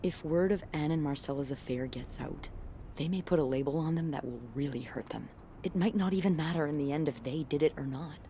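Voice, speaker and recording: A soft-spoken, low-voiced young woman. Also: a little fast. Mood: earnest. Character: young woman, hotel worker, caring and earnest. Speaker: coworker and friend of the maid. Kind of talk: video game voice acting. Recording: faint background noise.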